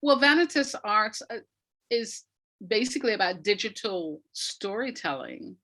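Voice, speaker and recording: A woman speaking as an interview guest, answering conversationally in a reflective, emphatic way with pauses between phrases. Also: medium pitch, lively intonation, a bit bright. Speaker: interview guest, arts practitioner.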